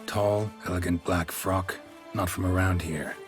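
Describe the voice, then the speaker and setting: Low, gravelly, deadpan monster hunter. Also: male voice, unhurried, over music. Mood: dry, wry. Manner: terse, deadpan, menacing understatement. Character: gruff, laconic wandering monster hunter, tough and dry. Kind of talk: video game character acting.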